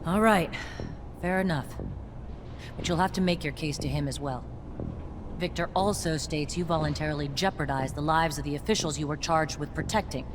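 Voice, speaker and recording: A low female voice, measured and commanding, an actress playing a stern yet loyal army captain. It is video game drama, with clear ambient noise behind her. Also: natural voice. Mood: probing, reproachful. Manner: measured, authoritative.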